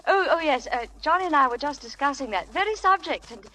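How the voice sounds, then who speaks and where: An earnest young newlywed wife in a vintage radio play. Her voice is high and swoops expressively, with anxious, tender hesitations and stammers. It comes through as an old broadcast recording. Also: lively intonation, quick pace, pushed and bright, rough recording. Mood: delighted, moved. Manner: breathy hesitations, stammering when upset. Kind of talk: 1940s radio drama acting.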